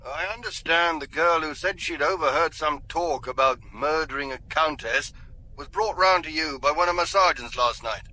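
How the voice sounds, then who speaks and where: An actor playing a local police inspector who speaks in a measured, faintly barbed way in a radio drama. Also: male voice, a little high, sharp tone, poor audio. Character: local police inspector on the phone, dryly pointed.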